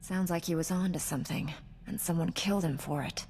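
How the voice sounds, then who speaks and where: A woman's voice: fairly low pitch, fairly even intonation, brisk, a little mellow, close to an everyday voice. An actress playing a loyal, caring young female Jedi knight in a video game, speaking in an earnest, reassuring way. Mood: earnest, inquiring. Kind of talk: scripted video game dialogue. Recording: long reverb, clear background noise.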